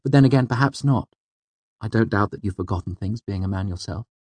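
A man voicing a teasing, gentle yet guarded young woman in audiobook dialogue, speaking softly. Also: lowish voice, moderate pace, breathy edge, dry recording, pitched above the performer's own voice. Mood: light. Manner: soft, teasing.

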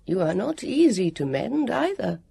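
A storybook narrator voicing a tall, skeletal male guardian who speaks slowly, sadly and apologetically. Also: mid-range voice, very animated, gravelly, warm tone, slightly rough, no room sound, pitched above the performer's own voice, exaggerated voice. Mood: apologetic. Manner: slow, mournful, apologetic.

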